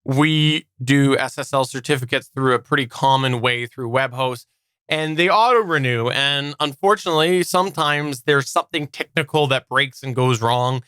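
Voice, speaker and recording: A man's voice: mid-range voice, normal speed, bright, forceful tone, smooth, no echo. A man co-hosting a podcast, explaining things in an informal, conversational way. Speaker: podcast co-host. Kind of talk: casual explanatory podcast talk.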